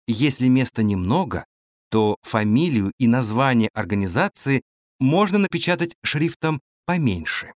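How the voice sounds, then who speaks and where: A man's voice: mid-range voice, dry recording, clean studio sound. A man reading a scripted advice audiobook as narrator, addressing the listener directly in an instructive way. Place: recording studio.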